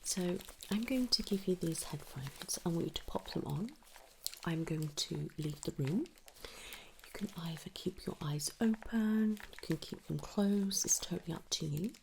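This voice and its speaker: A British woman performing an ASMR medical roleplay as an audiologist, speaking softly and often whispering in a gentle, reassuring manner, close to the microphone. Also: lowish voice, creaky, a little hiss or hum, rough recording. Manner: gentle, reassuring, attentive, addressing the listener directly.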